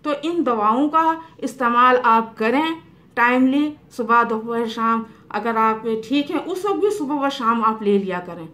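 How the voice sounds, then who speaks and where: A middle-aged woman homeopathic doctor, explaining remedies to camera in an unhurried way with many fillers, in a small room. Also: fairly high pitch, pushed and bright. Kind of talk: health advice talk to camera. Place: small indoor room by a curtained window.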